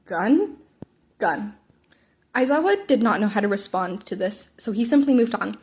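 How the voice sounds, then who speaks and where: A woman's voice: mid-range voice, animated, brisk, husky. A woman reading fan fiction aloud as an amateur narrator, with wry, formal asides to the listener. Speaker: amateur audiobook reader.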